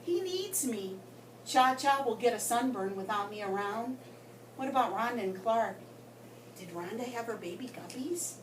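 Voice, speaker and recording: A woman reading a story aloud to children in an expressive way, with dramatic pauses and emphasis. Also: on the high side. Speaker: guest story reader for children. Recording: roomy, distant mic with background noise.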